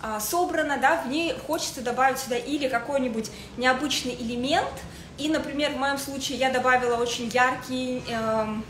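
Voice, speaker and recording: A woman in her thirties giving a casual livestream style lesson as a stylist, speaking haltingly with searching pauses. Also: high voice, steady tone, fairly bright tone. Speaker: fashion stylist hosting a livestream. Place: indoors in a home living room with a desk. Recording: echoey room with faint noise.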